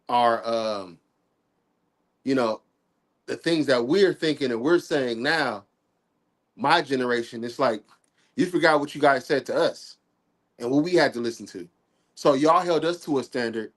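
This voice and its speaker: Man in his forties, a little nasal from a cold. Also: medium pitch. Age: 40s. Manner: relaxed, thoughtful, slangy. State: sick, a bit nasal. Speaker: guest on a live stream talk. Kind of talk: casual chat.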